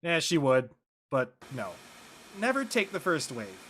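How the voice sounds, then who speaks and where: A man's voice: on the high side, wildly swooping pitch, a little slow, fairly bright tone, slight background noise, exaggerated voice. An actor playing an evasive, awkward teenage boy in casual drama dialogue.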